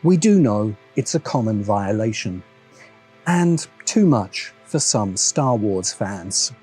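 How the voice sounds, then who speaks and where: A man's voice: on the low side, very animated, slow pace, a little mellow, slightly rough, rough recording. A man delivering a scripted video essay in an opinionated, dryly sardonic way. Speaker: host of a science fiction commentary show. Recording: music behind the voice. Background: background music.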